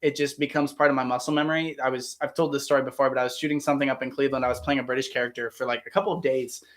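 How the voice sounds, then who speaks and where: A man's voice: medium pitch, steady tone, rapid-fire, fairly bright tone. A man from Ohio with a standard American accent, chatting casually and enthusiastically on a live Q&A, with many fillers and restarts. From Ohio, United States. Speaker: actor hosting a live Q&A. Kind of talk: casual livestream chat.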